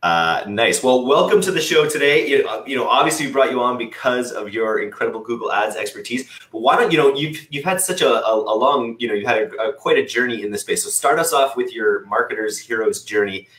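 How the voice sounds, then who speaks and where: A man's voice: mid-range voice, lively intonation, a little fast, few pauses, fairly bright tone. A man in his thirties with an Ontario Canadian accent, hosting an interview in a chatty, upbeat way with stumbling restarts. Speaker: podcast host. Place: small room, indoors. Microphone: studio mic on video call. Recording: echoey room.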